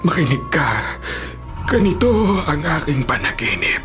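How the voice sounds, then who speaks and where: Theatrical, troubled Pharaoh over music. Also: male voice, fairly high pitch, very animated, a little slow. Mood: troubled, brooding. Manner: theatrical, imperious. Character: troubled, commanding male Pharaoh of ancient Egypt. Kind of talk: dramatised Bible radio drama. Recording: radio drama over clear background music.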